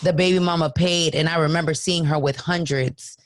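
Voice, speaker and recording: A raspy-voiced woman in her late twenties, a podcast guest, telling a story casually, acting out quotes and swearing freely. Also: deep voice, steady tone, brisk, dry recording.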